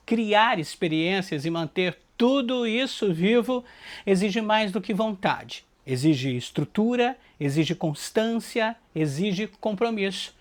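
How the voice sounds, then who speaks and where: A narrator with a Brazilian accent delivers reflective scripted narration in earnest, short, listed phrases. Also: mid-range voice. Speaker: book project organizer and narrator. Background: background music.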